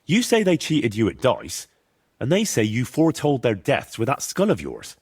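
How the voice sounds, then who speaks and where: An earnest, persistent young adventurer in a medieval game. His voice is husky and sits in a middle register, with very lively intonation. He speaks directly and presses with questions. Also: mid-range voice, moderate pace. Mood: insistent, skeptical. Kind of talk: acted dialogue in a medieval video game.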